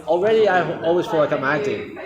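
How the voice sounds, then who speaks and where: A Scottish man with a quick, rambling way of talking, an anthropologist thinking aloud in casual conversation. He leans on fillers and restarts, and a loud crowd fills the background. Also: on the high side, dull audio. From Scotland. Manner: rambling, many fillers, animated.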